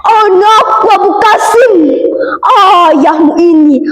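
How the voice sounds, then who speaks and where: A woman's voice: very high-pitched, takes it slowly, fairly bright tone, full character voice. A young female storyteller voicing a solemn royal father who instructs his son with formal authority in a folktale. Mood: solemn, instructing. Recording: roomy, echoing sound.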